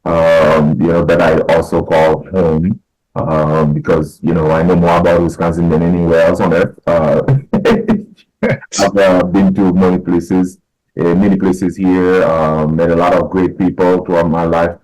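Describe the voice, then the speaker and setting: Very deep-voiced man, measured and halting. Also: flat delivery, darkish tone, soft background music, rough recording. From Haiti. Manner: measured, many fillers and restarts. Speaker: podcast guest, educator. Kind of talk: casual interview talk.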